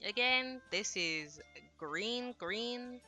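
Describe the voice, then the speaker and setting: Bright, forceful woman streamer chatting. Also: on the high side, animated, very slow, faint music behind. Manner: rambling, self-deprecating, apologetic. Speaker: streamer translating a Japanese visual novel. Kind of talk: casual livestream chat. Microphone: headset.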